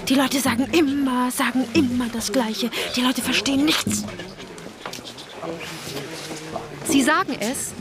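A woman's voice: sits high, fairly even intonation, normal speed, crowd noise, lightly acted. A woman playing an earnest, opinionated guide narrating a radio play, conversational and insistent, speaking directly to the listener. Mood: exasperated. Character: earnest female guide narrating postwar history, opinionated and exasperated. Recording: clear background noise.

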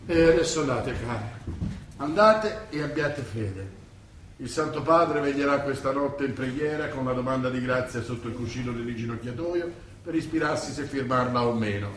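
An actor playing a wily, unctuous older cardinal in an Italian radio drama, measured and preachy. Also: male voice, medium pitch, a bit bright, lightly acted. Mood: soothing, pious. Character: wily, unctuous high-ranking cardinal, male, older, coolly manipulative. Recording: echoey stage recording, distant mic, hiss.

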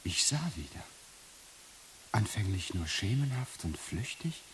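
An actor playing a dazed man in a radio play, haltingly recalling his returning senses, often dropping into a whisper. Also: fairly low pitch, very animated. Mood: awed, rising. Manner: halting, repeats prompts, often drops to a whisper. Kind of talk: radio play acting, monologue. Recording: hissy early 1980s radio play recording.

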